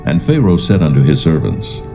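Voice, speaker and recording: A man narrating dramatised scripture in a solemn, measured storytelling manner. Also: extremely low pitch, very animated, gravelly, music in the background. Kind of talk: dramatised scripture narration in King James English.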